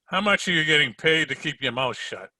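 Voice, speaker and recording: A man's voice: fairly high pitch, lively intonation, brisk, sharp tone, no echo. A man playing a wisecracking, unflappable hardboiled private detective in an old-time radio drama style, wry and tough-talking. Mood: tough, needling. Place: home recording over video call.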